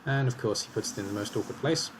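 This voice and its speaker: A man commentating his own match in a calm, analytical way as he talks through each move, slightly hoarse. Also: mid-range voice, fast talker, noisy background. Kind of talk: casual game commentary.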